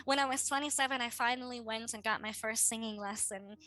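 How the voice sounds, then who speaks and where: A young German woman in her twenties talks in a bubbly, enthusiastic way as an interview guest. Her voice sits a little high, with a bright, forceful edge. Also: fairly even intonation. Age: 20s. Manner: enthusiastic, bubbly, quick to laugh. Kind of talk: casual interview chat.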